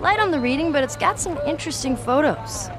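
A feisty American teenage girl, high-voiced and very animated. She is sassy and curious, a playful young survivor in a cinematic game, with music behind her. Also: brisk. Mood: chatty, curious. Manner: sassy, foul-mouthed, playful. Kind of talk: cinematic video game acting.

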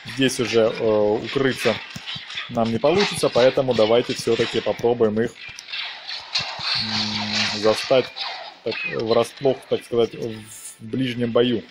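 A man's voice: mid-range voice, fairly even intonation, unhurried, low-quality recording. A man giving casual gameplay commentary, talking to viewers and dropping in short interjections. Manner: talks to viewers, exclaims in interjections. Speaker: gaming video narrator. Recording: music behind the voice. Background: game music.

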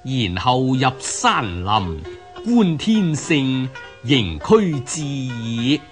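Male reciter of classical prose, Hong Kong Cantonese, extremely slow, solemn, over soft plucked strings. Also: mid-range voice, sing-song. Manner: solemn, measured. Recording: plucked string music under the voice.

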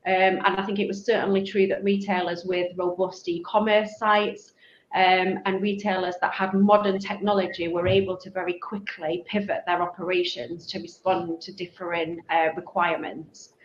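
A woman's voice: on the low side, level tone, moderate pace. A middle-aged woman with a Manchester accent, speaking as a webinar panel guest and laying out her points thoughtfully. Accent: Mancunian. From Manchester, England. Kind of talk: webinar discussion. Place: office, video call. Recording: roomy, dull video-call audio with faint noise.

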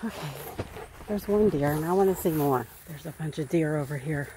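A woman's voice: sits low, brisk, mellow. A woman vlogging outdoors in rural fields at dusk, filming on a handheld phone as she talks casually with her viewers about the sky. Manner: chatty, coaxing commands to her dog. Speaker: dog owner vlogger. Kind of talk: casual vlog narration on a walk. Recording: faint outdoor background noise.

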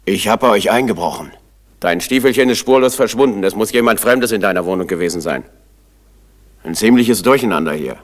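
An actor playing a detective's helpful, plain-spoken friend in a vintage radio crime drama. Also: male voice, on the low side, brisk, natural voice. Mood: matter-of-fact.

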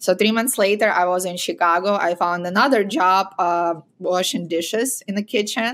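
A woman's voice: fairly low pitch, moderate pace, a bit bright, slight background noise. Guest: Ukrainian-accented woman in her thirties, upbeat, laughs easily, video call audio. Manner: cheerful, laughs often, simple non-native grammar. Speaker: guest, real estate agent. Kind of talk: casual interview chat. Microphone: video call, laptop camera.

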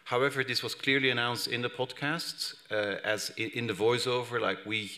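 A man in his thirties from Belgium answering questions on a conference panel, halting with many restarts, speaking into a table microphone in a large hall. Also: medium pitch, steady tone, pushed and bright. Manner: hesitant, many restarts and fillers. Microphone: table microphone on stand.

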